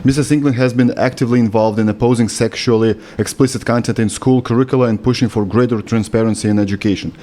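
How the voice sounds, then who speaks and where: A man from Lithuania, speaking English as a second language with a Lithuanian accent, hosting an interview and framing his questions in a careful, slightly halting way. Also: medium pitch, fairly even intonation, warm tone. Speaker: host.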